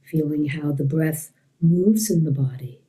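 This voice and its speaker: A woman teaching a guided meditation in a gentle, unhurried way with long pauses. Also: low voice, poor audio.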